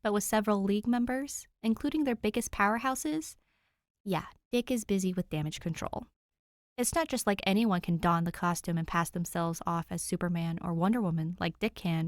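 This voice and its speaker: A young woman with a middle-range voice reads a story as an amateur narrator. Her delivery is expressive and casual, and the recording is dry with very little room sound. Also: mid-range voice, normal speed, no echo. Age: young adult.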